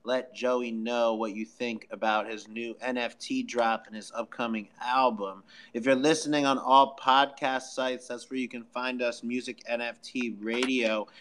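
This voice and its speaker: A man's voice: medium pitch, a bit bright. A man hosting a casual live audio podcast, talking in a slangy, hyped-up way. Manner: hype, slangy. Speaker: podcast host. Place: live online audio room, voice only.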